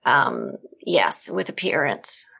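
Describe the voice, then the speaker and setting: A woman joins a podcast discussion as a guest, weighing her words and adding qualifications. Her voice sits somewhat low and carries a gravelly fry. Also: wildly swooping pitch, slow, sharp tone.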